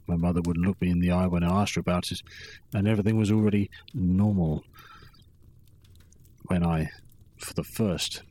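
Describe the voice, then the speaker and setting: An actor playing a weary, drunken old wanderer in a fantasy audio drama, recounting his bitter youth in a brooding, halting way with a husky voice. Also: low voice, a little mellow.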